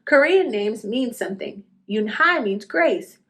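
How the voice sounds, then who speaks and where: A gentle, thoughtful young schoolgirl from a picture book, voiced by a woman reader. She speaks politely in a fairly high voice with expressive rises and falls. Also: unhurried. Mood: resolved.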